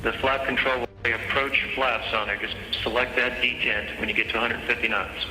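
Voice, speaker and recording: A male air traffic controller talks a pilot through instructions on the radio, calm and reassuring. His delivery is quick and even in pitch, with a very sharp tone. The transmission is echoey, with faint music beneath it.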